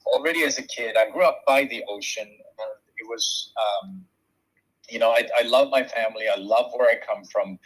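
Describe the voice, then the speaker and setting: A man in his forties from Sweden with a Swedish accent, a shaman and Reiki practitioner talking reflectively as an interview guest, softly and with many pauses. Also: medium pitch, husky, rough recording. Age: 40s. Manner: soft-spoken, searching for words, many pauses.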